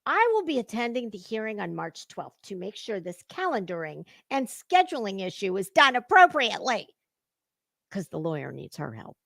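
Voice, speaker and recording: A woman hosting a gossip commentary show, reading aloud dramatically and commenting with blunt, scornful sarcasm and emphatic, drawn-out words. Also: mid-range voice, very animated, vocal fry, a bit bright, no room sound.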